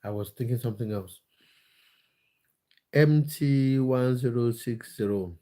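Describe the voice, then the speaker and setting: A man's voice: medium pitch, a little slow, warm tone. Cameroon-born man chatting on a livestream. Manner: reads out viewer comments and answers them, with many fillers and repeats. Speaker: livestream host answering chat. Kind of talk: casual livestream chat about football.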